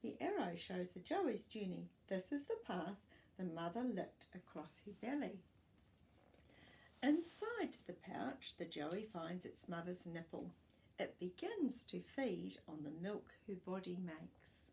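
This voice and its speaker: An older woman reading a children's non-fiction book aloud as a storytime host, with expressive, deliberate delivery for young children. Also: lowish voice, vocal fry, poor audio. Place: small furnished living room, indoors.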